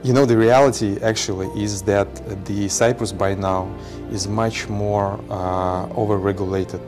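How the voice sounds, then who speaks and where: A man in his forties, a company representative answering interview questions in a measured way with many pauses. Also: on the low side, steady tone, music in the background.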